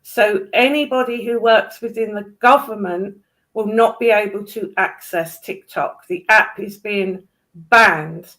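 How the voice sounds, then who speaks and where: A woman's voice: mid-range voice, a bit bright, rough recording. A woman in casual discussion, speaking in a blunt, opinionated way.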